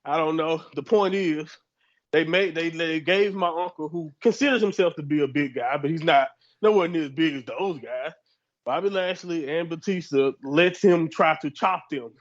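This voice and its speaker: A man's voice: on the high side, normal speed. A man co-hosting a wrestling podcast, laid-back and rambling, laughing at himself while telling a story. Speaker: podcast co-host. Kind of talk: casual chat. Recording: dull lo-fi mic.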